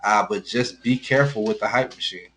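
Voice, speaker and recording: A man's voice: fairly low pitch, fairly quick, fairly bright tone. A man co-hosting a sports talk show, giving casual analysis in a frank, blunt and informal way.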